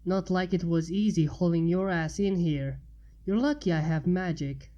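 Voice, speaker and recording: A woman's voice: lowish voice, moderate pace, dark tone, muffled recording, lightly acted. A woman voicing a young female unicorn mage, earnest, caring and a little proud, in a storybook reading. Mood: relieved.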